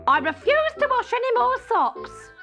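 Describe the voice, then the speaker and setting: A woman's voice: very high voice, sing-song, moderate pace, music in the background, pitched above the performer's own voice, heavily acted. A woman voicing an indignant, fed-up royal laundry lady in a storybook, huffy and emphatic.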